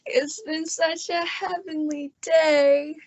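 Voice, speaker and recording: A woman's voice: high-pitched, fairly even intonation, slow pace, dry recording. A woman playing a sweet, dreamy young woman in an old-time radio drama. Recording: dull, lo-fi audio.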